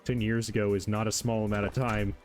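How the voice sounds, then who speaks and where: A man's voice: fairly low pitch, level tone, a little fast, a little mellow, soft background music. Chatty man with a light voice. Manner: chatty, rambling, answers chat with self-deprecating laughs. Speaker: streamer. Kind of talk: casual chat while gaming.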